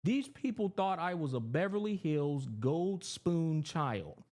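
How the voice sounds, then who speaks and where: A man in his thirties talks to his stream chat with a high-set voice. His pitch swings widely from line to line. He is emphatic and profane, hammering each point home. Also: big pitch swings, takes it slowly, darkish tone. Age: 30s. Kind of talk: livestream commentary to chat.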